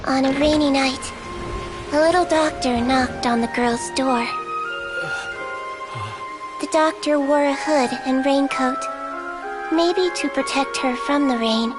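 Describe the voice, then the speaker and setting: A woman's voice: sits high, slow pace, pauses often. A woman voicing a sweet, girlish nurse creature in human form in a video game, polite, earnest and matter-of-fact. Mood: storytelling. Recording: music behind the voice.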